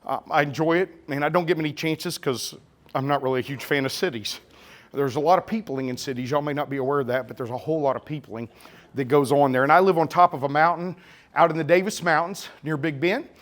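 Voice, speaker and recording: A middle-aged man with a slurred Texas-Oklahoma drawl addresses a packed event room at a camera store, his voice sitting a touch higher than most men's. He talks in a loose, joking way, needling the crowd and tossing in sarcasm between points. It is a live keynote presentation. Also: quick pace, a bit bright. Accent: Texas-Oklahoma blend. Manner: joking, teasing, sarcastic, chatty. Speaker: nature photographer giving a keynote talk.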